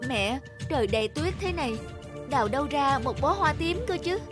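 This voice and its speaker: A timid, sweet young cartoon heroine, played by a woman, polite and plaintive in a high voice. Music sits clearly behind her. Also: expressive, normal speed. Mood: pleading. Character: gentle, timid orphaned girl, polite and sweet.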